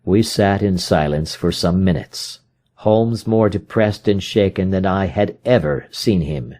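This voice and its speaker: A man's voice: low voice, unhurried, a little mellow. A man reading classic fiction aloud as scripted audiobook narration. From United States. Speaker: audiobook reader.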